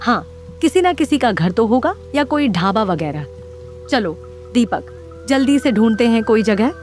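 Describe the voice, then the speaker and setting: Woman playing whiny anxious young lady in a scary audio drama, highish voice, faint music. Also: very animated, moderate pace, darkish tone. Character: fretful, complaining young woman, scared of lonely places. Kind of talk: horror audio drama acting.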